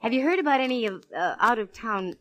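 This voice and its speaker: An actress playing a cool, sassy, wisecracking private eye with wry, punchy delivery in 1940s radio detective drama. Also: female voice, on the high side, lively intonation, quick pace, dry recording, natural voice. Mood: coaxing. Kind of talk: 1940s radio detective drama, first-person narration and dialogue. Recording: faintly noisy vintage radio recording.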